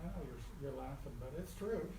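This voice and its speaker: A man's voice: mid-range voice, animated, moderate pace, gravelly. A man giving an informal spiritual talk to a small group in a folksy, colloquial way, repeating phrases for emphasis. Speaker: spiritual teacher. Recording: roomy, distant mic with faint noise.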